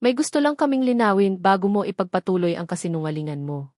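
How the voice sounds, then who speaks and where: A woman's voice: medium pitch, quick pace, darkish tone, no room sound, clean studio sound, close to an everyday voice. A woman voicing a resolute young male heir in an audio story, firm as he confronts his enemy. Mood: accusing.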